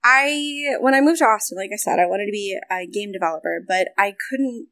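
A young woman from the United States chats animatedly and laughs often. She speaks with quick asides. The recording is dry and close, with no room sound. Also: mid-range voice, a bit bright, no echo. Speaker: voice actor and game developer. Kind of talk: casual interview chat.

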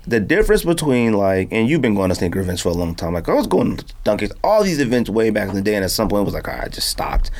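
A man's voice: on the low side, big pitch swings, fast talker, few pauses, a little mellow. A man hosting a podcast in casual, slangy conversation, telling stories and acting out quotes. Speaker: podcast host.

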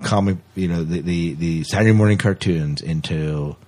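A man's voice: low-pitched, moderate pace, warm tone. A man co-hosting a podcast, chatting casually about sci-fi news. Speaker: podcast co-host.

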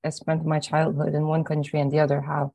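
Low-voiced, encouraging woman host, dry sound. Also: completely flat delivery, brisk, gravelly, warm tone, no echo. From born in Poland, raised in Ireland. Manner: encouraging, with hesitant restarts. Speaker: podcast host. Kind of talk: conversational interview.

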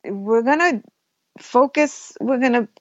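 A woman's voice: on the high side, slow pace, no room sound, dull audio. A woman talking casually and enthusiastically in an interview as a guest who teaches photography workshops.

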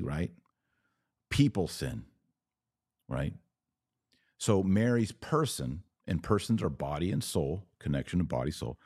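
Deep-voiced American man, close dry sound. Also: warm tone, no room sound. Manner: emphatic, repeats key phrases, rhetorical questions. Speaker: host of a religious podcast. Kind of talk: informal religious commentary to online viewers.

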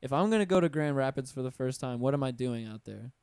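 Smooth-voiced man hosting a rambling podcast chat. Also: mid-range voice, animated, quick pace, a little mellow, dry recording, clean studio sound. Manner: rambling and hedging, often trailing off with "I don't know". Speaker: podcast host. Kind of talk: casual interview chat. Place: studio.

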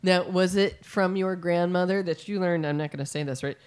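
Low-voiced woman hosting an interview. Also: moderate pace, a little mellow, smooth. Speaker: podcast host. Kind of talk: casual interview chat.

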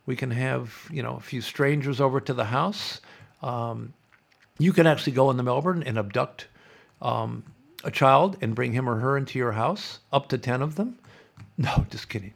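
A middle-aged man runs his podcast as a sarcastic, opinionated monologue. His voice is gravelly and fry-laden, and he sounds stuffed up and half-hoarse from congestion. His pitch sits at an ordinary middle height for a man. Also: moderate pace. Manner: sarcastic, mock-chanting opponents. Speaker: podcast host.

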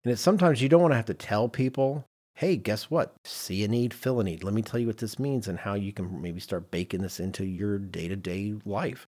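A man's voice: fairly low pitch, brisk, a little mellow. A middle-aged man hosting a podcast in casual discussion, acting out little imagined quotes as he explains. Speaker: podcast host.

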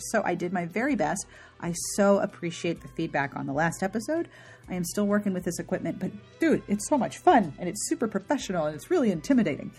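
A woman's voice: medium pitch, a little mellow, husky. A woman podcast host giving a chatty, informal and enthusiastic intro with playful asides.

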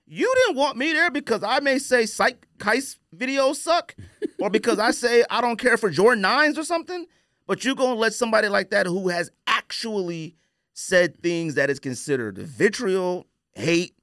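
A man's voice: high-pitched, fairly bright tone, dry recording, clean studio sound. A man hosting a podcast, telling stories in a casual, colloquial, opinionated way and acting out quoted exchanges. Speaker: podcast host.

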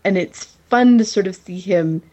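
A woman chatting casually as a podcast host in a giggly, animated way. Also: medium pitch, normal speed, low-quality recording. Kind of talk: casual TV recap chat.

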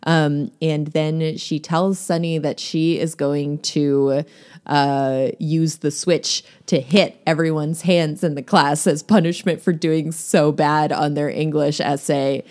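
A woman with a low voice talks through a novel in relaxed conversation. She leans on fillers and breaks into laughter easily, keeping it chatty and informal. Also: slow. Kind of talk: casual book discussion.

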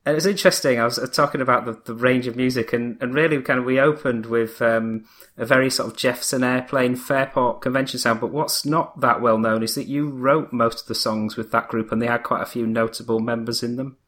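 A man's voice: mid-range voice, steady tone, brisk, fairly bright tone. A man hosting a podcast interview, enthusiastic and rambling with fillers. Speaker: podcast host. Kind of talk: interview host.

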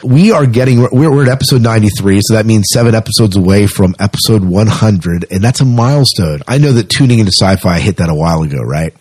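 A man with a fairly low, husky voice hosts a podcast in casual talk. He speaks conversationally and sometimes doubles back on his words. The sound is close and very dry. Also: expressive, fast, hardly any pauses, a little mellow, no room sound. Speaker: podcast host.

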